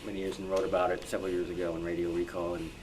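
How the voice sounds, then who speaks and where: A man answering as a panelist at a club meeting, unscripted, halting and doubling back as he talks. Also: sits low, completely flat delivery. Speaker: panelist, old-time radio researcher. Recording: noisy live meeting room recording.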